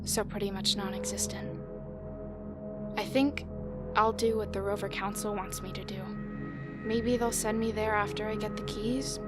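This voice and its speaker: A woman's voice: medium pitch, level tone, lightly acted. An actress playing an emotional, determined woman who survives an apocalypse, in an audio drama. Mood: resolute. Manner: emotional, halting in grief, firm when resolved. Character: Leah, grief-stricken but determined woman survivor of an apocalypse. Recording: music behind the voice.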